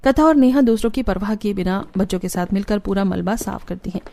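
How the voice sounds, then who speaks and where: A woman's voice: mid-range voice, fairly quick, vocal fry, warm tone. A woman reading scripted narration for a moral cartoon story.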